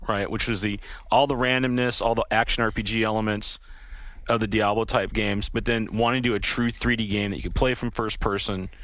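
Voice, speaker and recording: A man's voice: lowish voice, a bit bright, no room sound. Eager male game developer, dry close mic. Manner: enthusiastic storyteller, many "you know" fillers. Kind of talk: casual interview chat.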